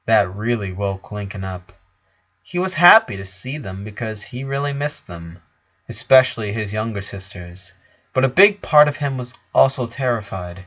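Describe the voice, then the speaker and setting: A man's voice: fairly low pitch, expressive, normal speed, a bit bright. Man narrating a story, slightly dull recording. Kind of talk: dramatic fan fiction narration.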